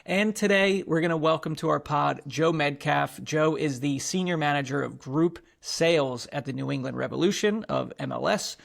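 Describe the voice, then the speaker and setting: A man's voice: a little high, moderate pace, gravelly, few pauses, a bit bright. A man hosting a football podcast, introducing his guest and asking questions in an enthusiastic, playful way. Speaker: podcast host.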